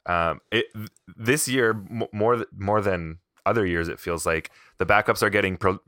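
A man's voice: fairly low pitch, normal speed, bright, forceful tone, no echo, clean studio sound. A man co-hosting a casual fantasy hockey podcast, chatty and joking, with thoughts that trail off. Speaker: podcast co-host.

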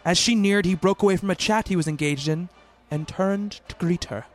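Music plays softly behind a man acting as an earnest, bookish doctor in a radio play. His voice sits high for a man, and he tells things in a measured, literary, wistful way, like a devoted fiancé recalling his love. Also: close to an everyday voice. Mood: wistful narrating. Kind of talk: radio play narration and dialogue.

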